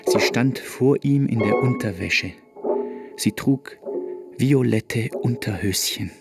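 A man's voice: medium pitch, takes it slowly, over music. A man narrating a literary radio play, in a dramatic delivery.

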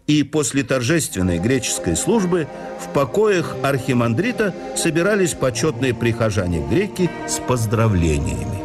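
A man narrating memoirs in a scripted radio reading, with a mid-pitched voice that moves animatedly up and down. His delivery is measured and storytelling, and a soft bed of music sits quietly behind him. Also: huge pitch swings.

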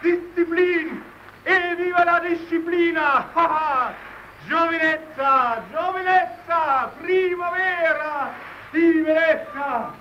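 A stranded, defiant radio operator at the end of his nerves. His voice sits very high, theatrical and bitter, with drawn-out delivery. It comes through a noisy vintage radio-play recording. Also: highs cut off. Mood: defiant, hysterical. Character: desperate, defiant Italian radio operator stranded on Arctic ice. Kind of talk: old German radio play acting.

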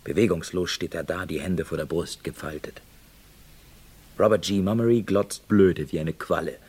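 An actor playing a brash, hard-bargaining newspaper reporter in a radio crime play, with a husky voice and terse, punchy delivery. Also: male voice, low voice, normal speed, a little mellow, slight background noise, lightly acted. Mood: urgent reporting.

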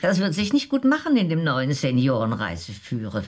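A brash elderly American woman traveller in a radio drama. The voice is low and swings widely as this figure bluntly gives orders and drives a hard bargain. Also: huge pitch swings, brisk, darkish tone, full character voice. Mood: commanding, sly. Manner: blunt, commanding, slyly insistent. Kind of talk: German radio drama acting.

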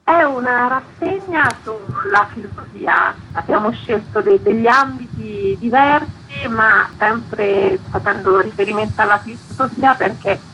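A woman's voice: fairly high pitch, unhurried, few pauses, sharp tone, slight background noise, rough recording. An articulate woman, a curator explaining a cultural programme in a radio interview. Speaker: festival curator being interviewed.

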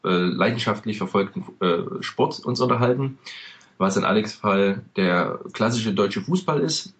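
A man's voice: lowish voice, animated, measured pace. A man from eastern Germany co-hosting a casual podcast, explaining at length with many fillers. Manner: chatty, many "ähm" fillers, loose rambling explanations. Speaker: podcast co-host. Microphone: home microphone over a call.

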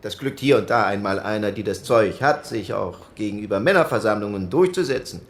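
A man's voice: lowish voice, expressive, a little fast. An actor playing a middle-aged male parliamentarian who argues his points in committee debate.

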